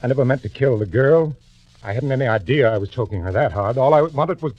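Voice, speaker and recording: An American actor playing a desperate, nervy swindler turned killer, confessing in a tense, dramatic 1940s radio thriller style. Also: male voice, mid-range voice, lively intonation, fast, dry recording, highs cut off, lightly acted. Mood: panicked. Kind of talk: 1940s radio thriller acting, first-person narration. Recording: old band-limited radio recording with music.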